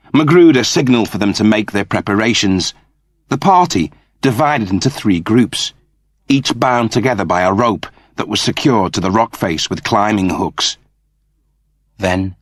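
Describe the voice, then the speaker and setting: Low-voiced man narrating a dramatic story. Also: dry recording, clean studio sound. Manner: measured, suspenseful storytelling. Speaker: audiobook narrator.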